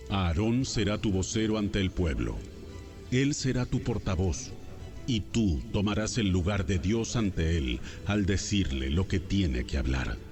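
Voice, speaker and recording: A man's voice: deep voice, a little mellow, full character voice. A man playing God as a stern, authoritative deity in a solemn, commanding dramatized Bible audio.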